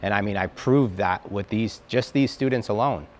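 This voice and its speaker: A man's voice: fairly low pitch, normal speed, slight background noise. A man who founded a car academy, speaking in a casual interview with loose, filler-heavy talk. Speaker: academy founder and shop owner.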